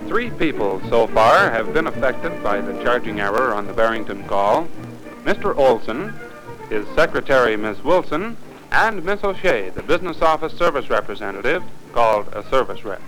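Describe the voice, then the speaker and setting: A man with an American accent narrates a 1950s training film in a formal, instructive way. His mid-range voice moves with lively inflection. Light music sits behind it on the old soundtrack. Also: medium pitch, normal speed, rough recording.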